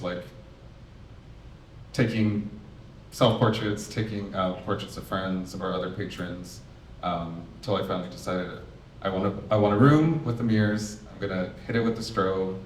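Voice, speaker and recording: Deep-voiced nervous man in an echoey gallery. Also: a little hiss or hum. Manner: nervous, halting with many fillers. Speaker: exhibiting artist on a panel. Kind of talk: panel artist talk. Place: art gallery. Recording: echoey gallery, mic far off.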